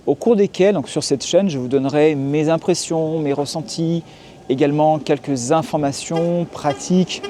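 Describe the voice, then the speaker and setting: Man in his forties from France, warm voice, calm vlogger on a city street. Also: a little high, a little hiss or hum. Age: 40s. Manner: calm, explanatory, frequent hesitations. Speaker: YouTuber and tour guide. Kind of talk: casual to-camera vlog.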